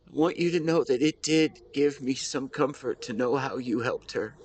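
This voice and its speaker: A man playing a grieving, devoted husband who speaks to his late wife in a tender, emotional, halting way, as an intimate audio roleplay monologue. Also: medium pitch, darkish tone, a little hiss or hum, natural voice. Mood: tender, bittersweet.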